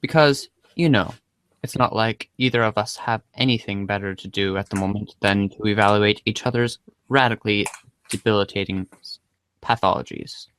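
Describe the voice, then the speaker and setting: A man voicing a wry, analytical teenage girl with formal, wordy and halting delivery in a comic reading. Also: fairly low pitch, a bit bright, a little hiss or hum, lightly acted. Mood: dryly analytical.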